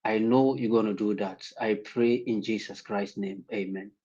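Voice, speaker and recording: A man from Ghana offering a prayer and warm advice as a panelist on a livestream call. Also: fairly low pitch, fairly even intonation, husky, highs cut off. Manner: earnest, slow, preacher-like phrasing. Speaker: guest panelist. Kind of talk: prayer and well-wishing on a livestream.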